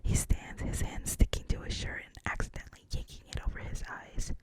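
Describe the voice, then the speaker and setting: A narrator reading a screenplay's action lines in a steady whisper. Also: a little fast. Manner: soft, even whispering throughout.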